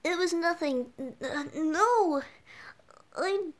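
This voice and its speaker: A woman voicing a flustered, bashful boyish bard with cat ears in an ASMR roleplay, halting and stammering as the character talks to the listener. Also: extremely high pitch, animated, exaggerated voice. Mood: embarrassed, protesting. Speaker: audio roleplay performer.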